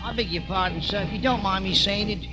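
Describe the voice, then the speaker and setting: An actor playing a deferential, fussing British ship's bartender in 1950s radio drama. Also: male voice, high voice, a little fast, dull audio, close to an everyday voice. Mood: deferential, concerned. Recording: old radio drama, music behind.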